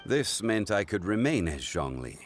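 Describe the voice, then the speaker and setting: An actor playing an ancient god in mortal form in a video game, formal, measured and solemn. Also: male voice, on the low side, sing-song, faint music behind. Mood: composed. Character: ancient god living as a composed, scholarly man. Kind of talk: dramatic game voice acting.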